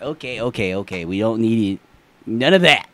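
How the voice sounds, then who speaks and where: A man's voice: on the low side, lively intonation, normal speed, bright, forceful tone, no room sound. A young man co-hosting a casual sports podcast, rambling and joking, laughing often. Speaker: podcast co-host.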